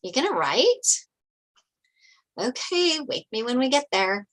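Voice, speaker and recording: A woman's voice: high-pitched, big pitch swings, slow pace, a bit bright, voice pushed up, exaggerated voice. A woman playing a brash, teasing young waitress best friend in a table read, blunt and gossipy. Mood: breezy reassuring.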